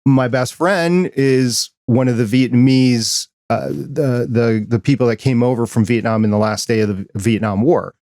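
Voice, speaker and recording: A man's voice: medium pitch, steady tone, moderate pace, few pauses, a little mellow, no echo. Earnest Midwestern man, dry sound. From American Midwest. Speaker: podcast host. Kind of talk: casual interview chat.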